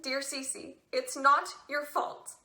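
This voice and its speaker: A woman's voice: sits high, flat delivery, slow pace, bright, forceful tone, heavily acted. A young woman acting a teenage girl, wry and wistful, reading letters in a stage monologue. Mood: gentle. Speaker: stage actor.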